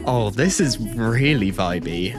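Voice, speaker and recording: A man giving casual livestream reaction commentary, enthusiastic and thinking out loud as he goes. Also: lowish voice, expressive, slow pace. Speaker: streamer. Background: music from game trailers.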